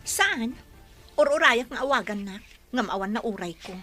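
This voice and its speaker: A woman's voice: fairly high pitch, lively intonation, moderate pace, sharp tone, faint music behind. A woman acting a bitter, vengeful wife with an Ilocano accent in a radio drama.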